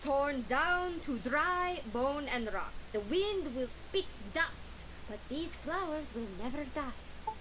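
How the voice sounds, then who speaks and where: High-voiced defiant tragic actress, hissy. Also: female voice, expressive, slow. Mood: anguished, grieving. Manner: dramatic declaiming, exasperated retorts. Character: Niobe, sharp-tongued, defiant actress rehearsing a grieving goddess. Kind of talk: theatrical rehearsal in game audio log. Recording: clear hiss behind the voice.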